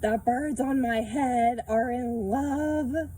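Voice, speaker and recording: A woman voicing an excitable, worrying elephant from a picture book, exclaiming with drawn-out, emphatic words. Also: a little high, voice pushed up. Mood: dismayed disbelief.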